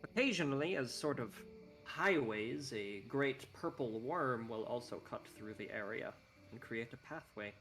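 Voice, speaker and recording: A man's voice: mid-range voice, animated, a little slow, fairly bright tone, faint music behind. A man voicing a courteous, scholarly male desert guide in a put-on Icelandic accent, speaking formally and explaining at length.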